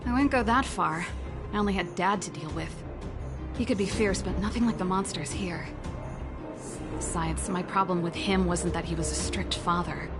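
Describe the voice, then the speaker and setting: A woman plays a headstrong, rebellious young woman in a video game. She speaks in a slightly low voice, frank and sardonic, over clear background music. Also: normal speed, lightly acted. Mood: bitter. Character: headstrong rebellious young woman, still resentful of her father.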